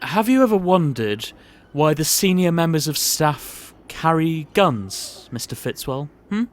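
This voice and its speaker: A pompous, genial boss of a shady petting zoo, acted by a man in a comic audio drama. He is jovial and theatrical, drawing out his phrases in a voice lifted a little high. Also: sing-song, a little slow. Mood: exasperated.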